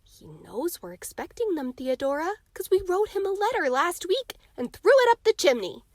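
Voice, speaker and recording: A woman's voice: very high-pitched, sing-song, moderate pace, no echo, voice pushed up. A woman voicing a confident, eager ten-year-old boy in an emphatic, earnest storybook read-aloud. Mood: confident scoffing. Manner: emphatic, childishly earnest and boastful.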